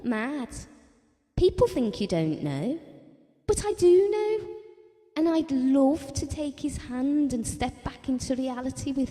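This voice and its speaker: A fragile mother clinging to her lost daughter, in an intimate audio drama. The voice is a little high and warm, haunted and reflective. It rings out in a long reverb. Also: full character voice. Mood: anguished. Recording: long reverberant hall sound.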